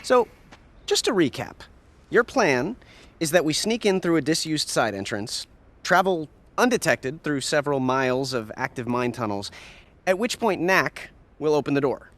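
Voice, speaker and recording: A man's voice: on the high side, animated, normal speed, a bit bright. A man voicing a young, skeptical sidekick in a video game, wry and plain-spoken.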